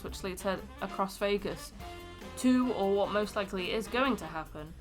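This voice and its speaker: A woman's voice: mid-range voice, a bit bright, poor audio. A woman co-host in a casual chat, reading out and discussing comments in an informal, joking, sweary way. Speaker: video co-host.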